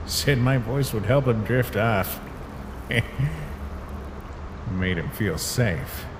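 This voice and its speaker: A man's voice: mid-range voice, animated, extremely slow, noisy background. A man voicing a laid-back, guarded skeleton big brother in a fan comic dub, with a casual drawl. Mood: gently teasing.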